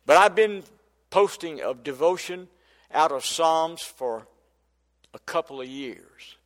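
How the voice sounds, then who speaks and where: A man's voice: on the high side, expressive, slow, in a large hall. An older man, preaching a sermon in folksy storytelling style that builds to emphatic preaching. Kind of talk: sermon testimony.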